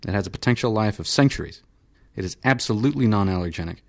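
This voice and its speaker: An unflappable surgeon explains things in a flat, measured, formal way, infinitely patient, as a male narrator gives him voice. The voice sits on the low side for a man, with little show of feeling, in an audiobook reading.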